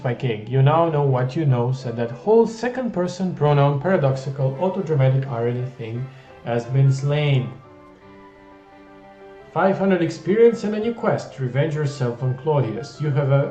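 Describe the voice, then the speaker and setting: Man, mellow tone, wry jokey game reader, roomy slightly dull recording. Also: medium pitch. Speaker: gamer reading a text game aloud. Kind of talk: reading a text adventure aloud with comic asides.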